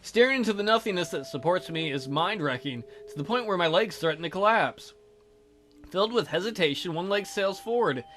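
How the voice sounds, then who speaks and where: A man's voice: high-pitched, animated, fairly quick, fairly bright tone. A man hosting a let's play, reading a visual novel aloud theatrically with goofy, crude joking commentary.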